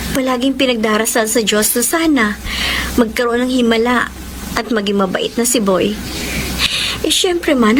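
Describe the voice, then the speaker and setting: A woman's voice: fairly high pitch, background noise, natural voice. A woman playing a long-suffering, devoted wife and mother in a Filipino radio melodrama, earnest and plaintive, with a Filipino accent. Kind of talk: Filipino radio melodrama acting and confessional narration.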